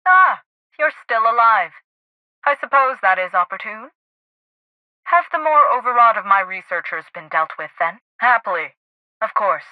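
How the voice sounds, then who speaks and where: An actress playing a pompous, high-strung head scientist in a video game, speaking formally with precise diction. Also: female voice, animated, moderate pace, pauses often, no echo, phone-quality sound, close to an everyday voice. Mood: cool, appraising. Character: haughty, high-strung woman scientist heading a research lab. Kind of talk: video game character dialogue.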